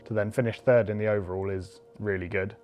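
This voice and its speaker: A man speaking as coach in a documentary interview, calm and appraising. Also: on the low side, fairly quick, soft background music.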